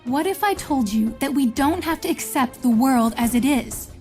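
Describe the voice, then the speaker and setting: A woman playing a solemn, commanding visionary mentor in a fantasy game, speaking in a measured, portentous, rhetorical way. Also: high voice, lively intonation, a little mellow, soft background music. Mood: solemn, persuasive. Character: solemn, commanding female visionary mentor recruiting chosen leaders. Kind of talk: scripted fantasy game voice lines.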